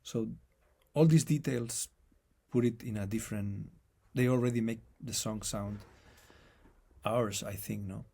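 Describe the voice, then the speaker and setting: A man with a non-native accent, speaking as an interview guest in a reflective, halting way and often restarting his phrases. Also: on the low side, creaky, mellow, husky. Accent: non-native English accent. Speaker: guest musician. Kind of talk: casual conversation.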